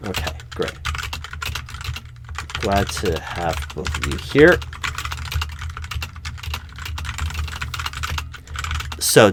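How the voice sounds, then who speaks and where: A man playing a flustered, earnest recruiting manager in a one-sided job interview roleplay, speaking haltingly and often correcting himself. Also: on the low side, slight background noise, close to an everyday voice. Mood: suspicious, resigned. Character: flustered, unobservant male corporate recruiting manager, earnest, exasperated. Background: computer keyboard typing.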